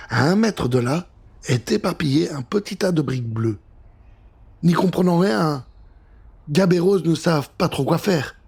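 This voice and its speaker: A man narrating a scripted children's adventure story with an expressive, dramatic delivery. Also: a little high, slightly rough. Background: music.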